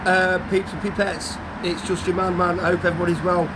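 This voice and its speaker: Croaky high-voiced man in his fifties. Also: level tone. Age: 50s. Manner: rambling, guarded, halting with restarts. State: croaky from a cold. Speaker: vlogger. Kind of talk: casual story to camera. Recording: outdoor traffic noise behind.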